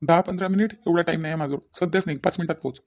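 A man voicing a pushy, good-hearted young best friend in a cartoon, loud, insistent and teasing, with a Varhadi Marathi accent. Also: on the high side, steady tone, rapid-fire, lightly acted. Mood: impatient. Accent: Varhadi Marathi from Vidarbha. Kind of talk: cartoon phone-call dialogue.

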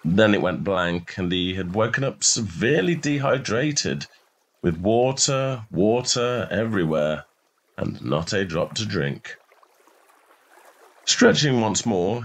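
Low-voiced male story narrator. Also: expressive, unhurried. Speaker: narrator reading a short story.

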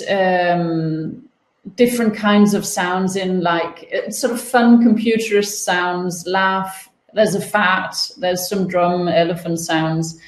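A woman in her thirties presenting an online coding webinar from home over a webcam, explaining steps in a relaxed way with playful comparisons. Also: mid-range voice. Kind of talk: online teaching demo. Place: home room, indoors. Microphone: laptop webcam video call. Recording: roomy echo.

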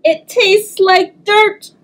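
A woman voicing a dramatic, demanding little girl from a children's storybook, exclaiming and whining in drawn-out words. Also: extremely high pitch, level tone, a bit bright, pitched above the performer's own voice, full character voice. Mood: wailing dismay. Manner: exclaiming, drawn-out, whiny complaining. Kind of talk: children's storybook read-aloud.

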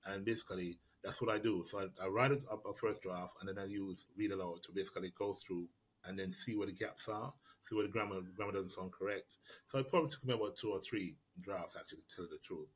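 Husky low-voiced man. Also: quick pace. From Jamaica. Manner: reflective, frequent restarts and repeated words. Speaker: prize-winning writer answering questions. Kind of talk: casual Q&A answers on a video call. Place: small room indoors. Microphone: webcam or laptop mic on a video call.

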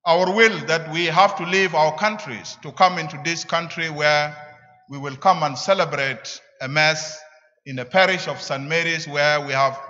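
A middle-aged man with a South Sudanese accent preaches a sermon deliberately, in short emphatic phrases, at a church lectern. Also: a little high, pushed and bright. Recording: echoing church, slightly dull sound.

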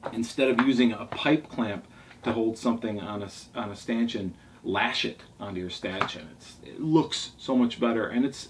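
A man's voice: medium pitch, gravelly. A man in his forties talking casually and enthusiastically in a rambling way, as a vlog host going through favourites at home. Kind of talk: casual talk reviewing favourite books. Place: small furnished room indoors at a table. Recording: distant mic, noisy room ambience.

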